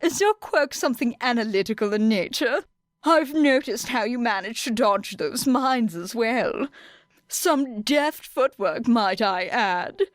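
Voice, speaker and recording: A woman's voice: a little high, expressive, measured pace, no echo, studio quality, voice pushed up. A woman voicing a smug teenage boy with pompous, ingratiating, falsely polite manners. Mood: oily politeness.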